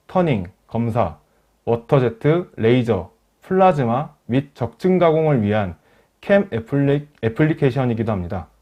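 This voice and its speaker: Man presenting a software demo, dull audio. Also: mid-range voice. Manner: explanatory, polite formal speech. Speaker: webinar presenter. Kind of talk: software demonstration, part rehearsed narration.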